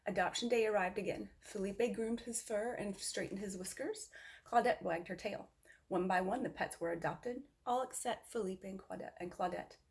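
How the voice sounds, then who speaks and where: A woman reading a picture book aloud to children in an expressive storytelling style. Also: mid-range voice, moderate pace. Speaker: storybook reader.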